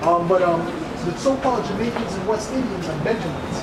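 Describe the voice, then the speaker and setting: High-voiced man preaching amid loud noise. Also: animated, fairly quick. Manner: insistent, repeatedly seeking agreement with tags like right and okay. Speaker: street preacher. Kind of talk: informal street preaching and scripture explanation. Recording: echoey, very noisy outdoor street recording.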